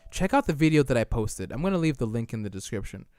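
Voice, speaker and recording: A man's voice: medium pitch, sing-song, fast talker, darkish tone, clear, resonant tone, dry recording. A young man live-streaming, reading viewer chat aloud and answering it casually, his voice wearing out from a long stream. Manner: chatty, reads chat aloud and answers it, loose restarts. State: losing his voice.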